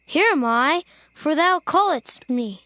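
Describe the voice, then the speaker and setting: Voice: high voice, expressive, slow pace, bright, forceful tone, no echo, exaggerated voice. A performer playing an obedient, innocent young boy in a dramatized audio Bible, answering earnestly and simply. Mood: eager, obedient.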